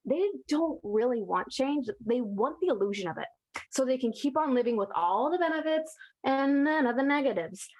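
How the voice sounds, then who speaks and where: Woman acting as a jaded old demon, fairly high voice, sardonic, casual, scornful stage monologue. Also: animated, normal speed, a little mellow, poor audio. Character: cynical, jaded old demon who scorns human hypocrisy. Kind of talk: theatrical monologue in casual speech.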